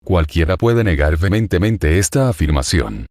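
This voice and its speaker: A man from Argentina with an Argentine accent, a psychoanalyst reading a scripted self-interview in a solemn, deliberate, self-assured way. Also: deep voice, expressive, darkish tone, no echo. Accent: Argentine Spanish.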